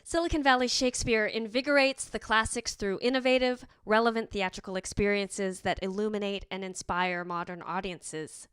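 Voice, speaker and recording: A woman's voice: mid-range voice, fairly even intonation, moderate pace, few pauses, fairly bright tone, smooth, dry recording, clean studio sound. A woman presenting in scripted narration, with music behind her.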